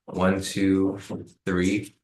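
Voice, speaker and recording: A man hosting a live trading stream, hoarse and raspy from the cold, talking casually with many fillers and repeated words. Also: deep voice, monotone, extremely slow, a little mellow, roomy sound. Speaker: trading livestream host. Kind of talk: casual live-stream commentary answering chat.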